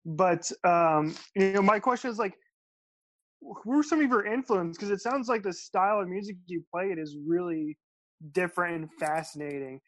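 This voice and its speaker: A man hosting a podcast, asking a guest a question in an enthusiastic, halting way, often restarting his sentences. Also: sits high, dry recording, poor audio. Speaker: podcast host. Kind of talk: interview question.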